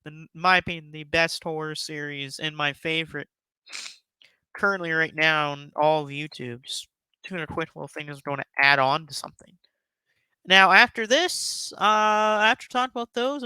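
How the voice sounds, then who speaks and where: A man's voice: a little high, moderate pace, bright, forceful tone, clear, resonant tone, no echo, poor audio. A man hosting a casual, enthusiastic countdown commentary, speaking in an emphatic, effusive and rambling way. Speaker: YouTube host giving a top ten countdown.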